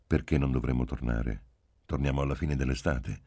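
A man reading a story in the part of a calm father who teases and gently chides his child. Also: rumbling low, moderate pace, no room sound, studio quality, voice pushed down. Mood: patient. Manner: teasing, patient.

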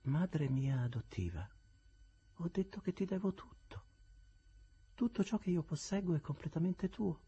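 An icily calm, proud young woman, as a male reader voices her, speaking in a quiet, level, half-whispered tone raised well above his own pitch. Her husky, dark delivery never lifts into anger or warmth. A storybook voice of cool indifference. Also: a little slow, long pauses, dull audio, exaggerated voice. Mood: composed. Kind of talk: audiobook reading of a classic novel.

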